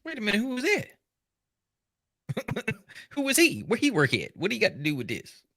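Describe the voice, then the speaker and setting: A man hosting a show, giving casual, unscripted commentary in an animated, joking, colloquial way. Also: high voice, moderate pace, vocal fry, no echo, clean studio sound. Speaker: host reacting to a documentary.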